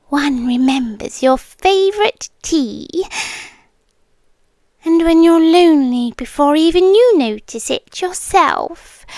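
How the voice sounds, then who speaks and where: A woman plays a female serpent monster in a yandere ASMR roleplay, British and seductively possessive. Her high voice moves extremely slowly and intimately, often dropping to a whisper with quiet menace. It sits very close and dry on the mic. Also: no room sound, full character voice. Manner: soft, slow, intimate, often slipping into whispers. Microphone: close microphone, dry.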